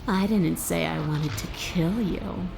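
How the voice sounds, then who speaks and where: Slow, gravelly beast princess. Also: female voice, lowish voice, background noise. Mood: taunting. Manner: slow, deliberate, menacing. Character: monstrous predatory beast princess, hungry, contemptuous, menacing. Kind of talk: video game voice acting.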